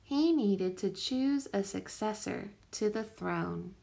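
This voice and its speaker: A woman reading a children's picture book aloud as a teacher would, with careful, expressive storytelling emphasis. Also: medium pitch, takes it slowly, creaky.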